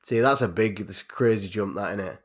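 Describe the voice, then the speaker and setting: An English man in his late thirties talks loosely, with plenty of swearing. He rambles and pokes fun at himself. He sounds like a relaxed podcast host. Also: fairly low pitch, fairly quick. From England. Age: 30s. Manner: sweary, rambling, self-mocking. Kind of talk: casual chat.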